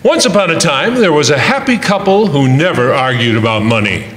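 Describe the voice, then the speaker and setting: In a roomy, echoing hall, a long-suffering husband , played by a man tells of married life. His voice is fairly high with wide swings, wry and sardonic in the manner of old radio comedy. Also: animated, a little fast, fairly bright tone, slight background noise. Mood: wry storytelling. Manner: dry, sardonic asides.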